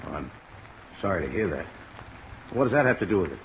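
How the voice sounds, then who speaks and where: Low-voiced, bewildered jailed seaman. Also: fast talker, low-quality recording. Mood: subdued, grateful. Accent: American. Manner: plain-spoken, hesitant. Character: bewildered, wary American seaman jailed for murder. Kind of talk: 1950s radio drama acting. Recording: old radio recording with rain noise. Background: steady rain.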